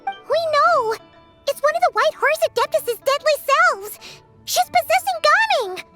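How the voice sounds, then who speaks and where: A tiny, excitable fairy from a game cutscene, with an extremely high, squeaky voice. The delivery is urgent yet drawn out, over loud music. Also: female voice, unhurried.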